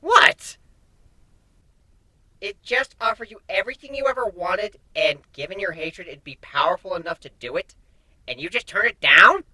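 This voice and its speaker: Man as a shrill, alarmed nightmare warrior. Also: lively intonation, normal speed, pushed and bright, heavily acted, poor audio. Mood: incredulous. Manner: urgent, exclaiming. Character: alarmed, blunt armored Nightmare warrior, desperate to warn.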